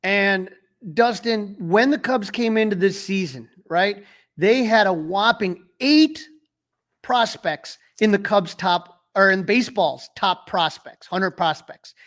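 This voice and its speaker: A man's voice: high-pitched, takes it slowly, a bit bright. A man co-hosting a sports podcast, talking in a casual, conversational style. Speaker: podcast co-host.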